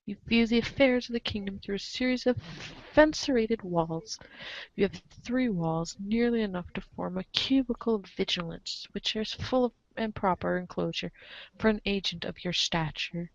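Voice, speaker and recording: A woman's voice: medium pitch, normal speed, gravelly. Female narrator for a comic read-aloud, wry and theatrical, mid-range voice, slightly muffled dry recording. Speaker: narrator reading a webcomic aloud. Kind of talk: dramatic scripted narration with casual asides.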